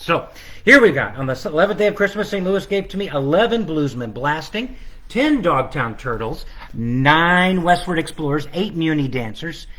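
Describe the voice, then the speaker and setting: Brief: animated man, fairly high and bright, reads a children's counting book with lots of chatty asides. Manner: lively, chatty, stretches out the repeated refrain. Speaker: author reading his picture book. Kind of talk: children's storytime reading with chat.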